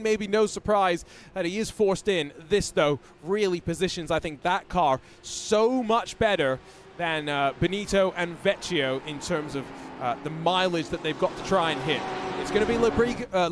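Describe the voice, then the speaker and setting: A man's voice: high-pitched, background noise. A man giving live sim racing commentary, chatty and rambling, with fillers and restarts.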